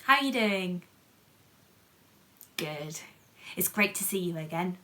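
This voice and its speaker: Expressive woman reading a children's storybook, unhurried. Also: lowish voice. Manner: playful, chatty, asking the audience questions. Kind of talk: animated picture-book reading with chatty asides to young viewers.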